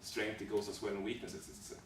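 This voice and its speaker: A man from Sweden, answering interview questions haltingly, with many fillers and restarts. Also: fairly low pitch, completely flat delivery. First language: Swedish. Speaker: job applicant in a practice interview. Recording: echoey room, distant mic, background noise.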